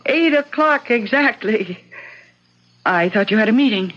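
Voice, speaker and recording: A woman's voice: on the high side, lively intonation, unhurried, slight background noise. An actress playing a married woman torn by gambling, willful and dramatic, in an old-time radio drama. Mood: poised. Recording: dull lo-fi radio drama, loud music.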